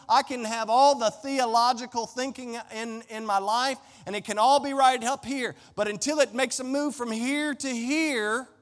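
A man preaching a sermon as a pastor, speaking emphatically with folksy direct address and repeated phrases. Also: extremely high pitch. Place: large indoor room, preaching to a congregation. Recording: reverberant hall with long echo.

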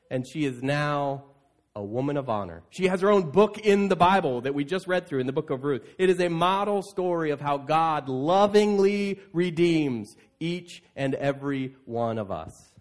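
A man with a fairly high voice delivers a sermon as a pastor. He talks conversationally to his congregation, telling the story in an animated manner and slipping into casual paraphrased quotes. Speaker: pastor preaching. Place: church, speaking to an assembled congregation.

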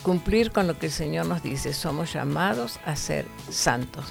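A woman's voice: sits low, expressive, measured pace, gravelly, music in the background. A woman teaching from the Bible to other women in an earnest, preachy way, with fillers and restarts.

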